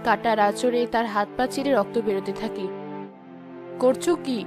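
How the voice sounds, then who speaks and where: A woman's voice: medium pitch, fairly even intonation, fast, over music. A woman giving scripted narration of a fairy tale for a cartoon.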